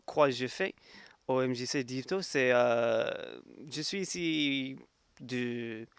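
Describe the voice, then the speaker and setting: A man in his twenties with an English accent, a volunteer speaking learner's French haltingly, searching for words. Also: medium pitch, clear, resonant tone, no room sound, clean studio sound. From England. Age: 20s. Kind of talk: interview testimony.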